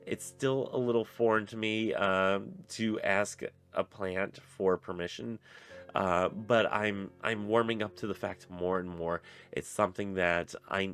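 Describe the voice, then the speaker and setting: Deep-voiced man with a stuffy nose. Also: a bit bright, smooth. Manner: rambling, many fillers and restarts. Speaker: book reviewer on a pagan channel. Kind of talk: casual spoken book review.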